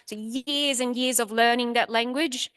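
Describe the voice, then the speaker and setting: A woman speaks frankly and emphatically as a panel lecturer. Her fairly high voice is dry and close to the mic. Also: steady tone, a little slow, a bit bright, clean tone, no echo. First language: Italian. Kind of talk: confident panel answer.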